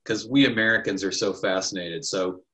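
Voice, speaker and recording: Brisk bright-voiced American interviewer. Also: male voice, lowish voice, low-quality recording. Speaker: podcast host. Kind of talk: casual interview over video call.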